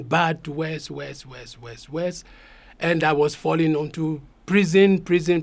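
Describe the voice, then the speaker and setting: A middle-aged man with a fairly high voice. He speaks haltingly as a radio interview guest, full of fillers, restarts and repeated words. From Ghana. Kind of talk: radio interview, personal story.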